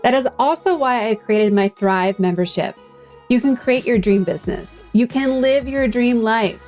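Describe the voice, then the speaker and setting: A woman's voice: fairly high pitch, normal speed, darkish tone. Woman hosting a podcast, music behind. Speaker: podcast host.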